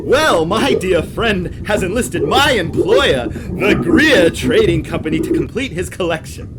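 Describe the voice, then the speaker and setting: A man playing a slick, taunting villainous salesman in an audio drama, with theatrical, drawn-out delivery. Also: sits high, very animated, very noisy. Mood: smug, taunting. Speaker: actor. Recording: loud music over the voice. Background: loud music.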